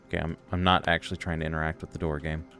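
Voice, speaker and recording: A man's voice: extremely low pitch, level tone, very fast, fairly bright tone. A man reading a video game's story text aloud and commenting as he plays, with game music behind him. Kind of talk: let's play narration, reading dialogue aloud.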